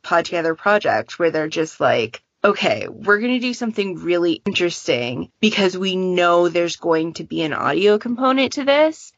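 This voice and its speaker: A woman with a slightly low voice talks casually in a panel discussion, explaining in a chatty, informal way. The sound is very dry and a little dull and lo-fi. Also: a bit bright, no echo. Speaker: podcast panelist and fan project moderator.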